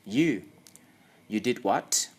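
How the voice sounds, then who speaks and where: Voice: medium pitch, expressive, extremely slow, darkish tone, slightly rough, heavily acted. A young reader with a Thai accent voicing a stern, formal male schoolteacher who scolds a pupil in an audiobook.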